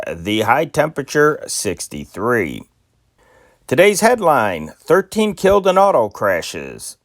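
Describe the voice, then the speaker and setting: A man hosts his own channel and reads old newspaper stories aloud in a plain, unpolished way. His voice sits at an ordinary middle pitch. The recording is dry and close, with no room sound. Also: dry recording. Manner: unscripted intro with many "uh" fillers, then plain reading. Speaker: host.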